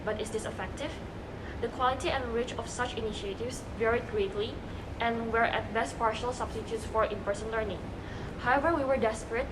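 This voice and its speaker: A woman in her late teens delivers formal, prepared points very quickly. Her voice is fairly high, with clear room noise behind it. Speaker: student panelist. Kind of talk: prepared, formal opinion statement. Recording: noisy room ambience.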